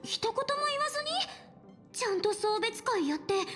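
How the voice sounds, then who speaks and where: Anime game girl, teenage, bubbly, rapid-fire, emotional, music underneath. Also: extremely high pitch, animated. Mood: dismayed, pouty. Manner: exclamatory, openly emotional. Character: bubbly, sentimental teenage girl space traveler. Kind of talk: Japanese anime game voice acting.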